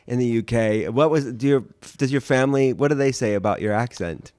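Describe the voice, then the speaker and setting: A man's voice: medium pitch, a little fast, a little mellow, clear, resonant tone, no echo, studio quality. A male podcast host who interviews his guest in an enthusiastic, affirming way. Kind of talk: conversational interview.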